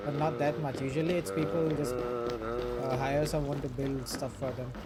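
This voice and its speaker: A man's voice: medium pitch, steady tone, moderate pace, heavy background noise. A man chatting casually in a conversational, informal way. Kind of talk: casual chat.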